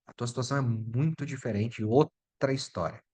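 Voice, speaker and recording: A middle-aged man with a Brazilian Portuguese accent, speaking at an average pitch. He explains legal rules casually and bluntly, like a lawyer talking straight to his viewers. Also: brisk, darkish tone. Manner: chatty and direct, addresses viewer as "tu" and "meu filho". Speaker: immigration lawyer.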